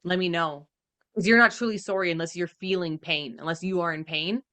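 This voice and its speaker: A woman's voice: on the low side, fairly even intonation, a little fast, bright, forceful tone, no room sound. A woman co-hosting a podcast, reacting casually in a blunt, emphatic way. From Canada. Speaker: podcast co-host.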